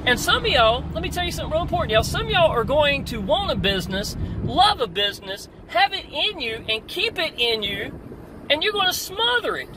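A middle-aged man giving an energetic, preachy motivational talk to an online marketing audience while driving, repeating "y'all" often. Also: extremely high pitch, fairly quick. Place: open-top convertible car outdoors. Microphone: phone camera at arm's length. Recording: wind and road noise, mic at a distance.

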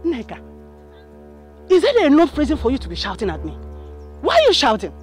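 A woman's voice: a little high, sing-song, moderate pace. A woman playing a brazen, quarrelsome debtor in a film drama, with a Nigerian accent and a taunting, exclamatory manner. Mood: angry, scornful. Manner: taunting, exclamatory, repeats questions. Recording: background music over film dialogue.

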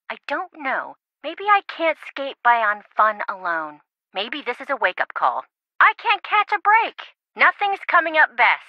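An actress playing a bubbly, scatterbrained young best friend in a video game, chatty, playful and melodramatic, in band-limited audio. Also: female voice, on the high side, no room sound, muffled recording. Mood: discouraged. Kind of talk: video game phone-call dialogue.

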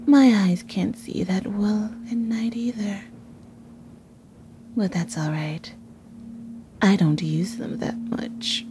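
A woman voicing a possessive, playfully menacing female snake monster in an ASMR roleplay, breathy and often slipping into a whisper. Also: medium pitch, noisy background, lightly acted. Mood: teasing menace. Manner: slow, teasing, giggling menace. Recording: music under the voice. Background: music.

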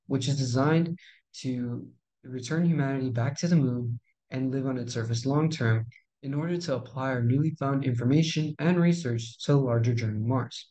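A man's voice: medium pitch, dark tone, poor audio. Teen boy opening a class presentation. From Texas. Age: teens. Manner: formal, reads from a script. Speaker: student presenter. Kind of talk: scripted school presentation.

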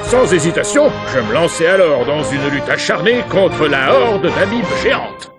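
A blustering, boastful superhero. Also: male voice, fairly high pitch, big pitch swings, moderate pace. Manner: blustering, self-aggrandizing. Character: boastful, cowardly, vain male superhero. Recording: game audio with loud music behind.

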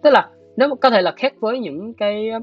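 Male interview guest, southern Vietnamese accent, husky and measured, muffled video-call sound with guitar music behind. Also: high-pitched, lively intonation. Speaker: guest, animation director. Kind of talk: explanatory interview talk. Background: soft plucked guitar music.

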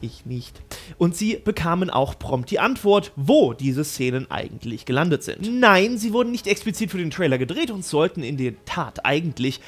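A man with a high-pitched voice hosts a scripted video commentary on film. He addresses viewers directly and leans on his words with emphasis. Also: very animated, moderate pace, breathy edge. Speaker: YouTube host on film news.

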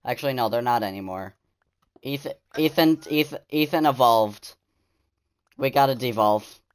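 A teenage boy streaming a game, with a squeaky voice, chatting and bickering excitably with friends. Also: moderate pace, clear, resonant tone. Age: teens. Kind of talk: casual gaming chat with friends.

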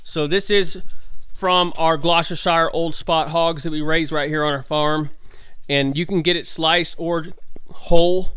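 A man's voice: on the high side, steady tone, measured pace, fairly bright tone, smooth. A man, cooking at home and chatting enthusiastically to his viewers in a folksy way. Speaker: farm vlogger. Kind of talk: casual cooking vlog. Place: indoor home kitchen.

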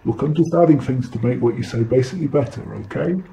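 A man's voice: medium pitch, fast, warm tone, slight background noise, close to an everyday voice. A man playing a vain, boastful alien who relays messages telepathically in a pompous, theatrical way for a comic audio drama. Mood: defensive. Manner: pompous, smug, theatrical, easily sidetracked.

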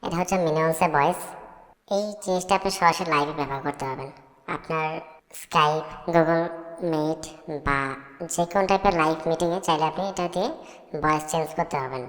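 A man voicing an AI character through a voice changer, explaining how the software works. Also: a little high, brisk. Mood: explanatory. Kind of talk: software demonstration. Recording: echoey, hall-like processed voice.

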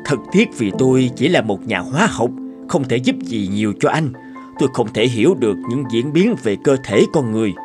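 A man voicing troubled, thoughtful doctors and professors in a cartoon drama, formal and measured. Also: medium pitch, animated, over music, lightly acted. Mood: thoughtful, earnest. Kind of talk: animated cartoon drama dubbing.

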